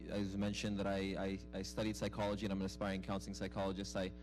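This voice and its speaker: A man's voice: low-pitched, completely flat delivery, rapid-fire, music in the background, rough recording. A young American man from Arizona speaking informally as a guest at a live event, self-deprecating, often repeating words as he goes. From Arizona, United States. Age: young adult. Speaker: guest speaker, mosque youth programs director. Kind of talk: informal personal storytelling at a public dialogue. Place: live event before an audience.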